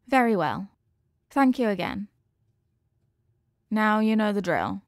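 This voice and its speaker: A woman's voice: mid-range voice, very animated, takes it slowly, no room sound, studio quality. A woman playing an earnest, formal young scientist who is fond of her test subject, in a scripted roleplay with precise, clinical wording. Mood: polite, businesslike. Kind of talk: scripted roleplay addressed to the listener.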